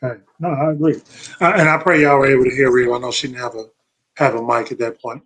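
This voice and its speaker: A man's voice: mid-range voice, fairly even intonation, fast, a bit bright, poor audio. An American man hosting a scripture study, talking reflectively and often searching for his words. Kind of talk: reflective Bible discussion.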